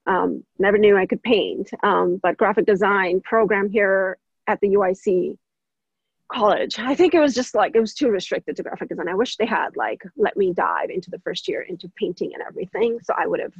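A woman from India in her thirties, chatting as an interview guest, animated, laughing often and using plenty of fillers. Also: medium pitch, brisk, breathy edge, no echo, muffled recording. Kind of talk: casual interview conversation.

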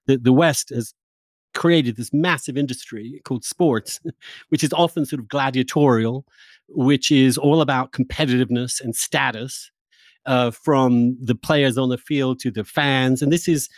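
A man's voice: medium pitch, measured pace, no room sound. Male interviewer, mid-range voice, dry close sound. Discursive, informal intellectual conversation, occasionally sweary. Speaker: podcast host.

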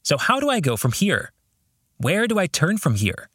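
A man's voice: fairly high pitch, wildly swooping pitch, moderate pace, fairly bright tone, dry recording, studio quality, heavily acted. A man voicing an anxious, weary young seminary student who prays in a pleading, troubled way, in a novel reading. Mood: searching, doubtful. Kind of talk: audiobook-style novel reading.

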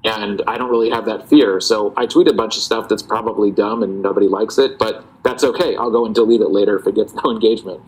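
A man, a guest in a casual interview, talking in a relaxed, reflective way with many fillers and restarts. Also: on the low side, fast, few pauses, rough recording. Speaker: guest, parody account writer.

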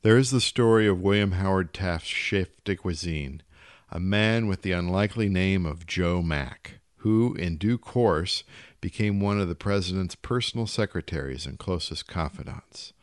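A man's voice: deep voice, moderate pace, dry recording, studio quality. A man reading a literary short story aloud as scripted narration.

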